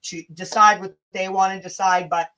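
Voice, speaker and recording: A woman's voice: medium pitch, fairly even intonation, moderate pace, pushed and bright. A woman hosting a casual livestream rant, blunt, combative and sweary, with chatty asides to her viewers. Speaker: livestream host.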